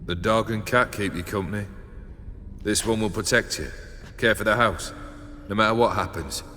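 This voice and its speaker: A man's voice: fairly low pitch, moderate pace, bright, forceful tone, a little hiss or hum. An actor playing a cold, imperious, bitter nobleman with a commanding, menacing delivery in a game cutscene. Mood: hollow, cold. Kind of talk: dramatic video game cutscene acting. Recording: long reverb, faint music behind.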